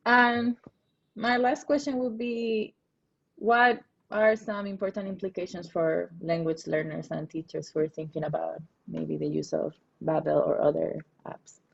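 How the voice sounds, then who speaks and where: Woman asking polite short interview questions, gravelly husky voice, kinda muffled lo-fi audio. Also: lowish voice, flat delivery, measured pace. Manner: polite, brief. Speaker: podcast interviewer. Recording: dull lo-fi sound.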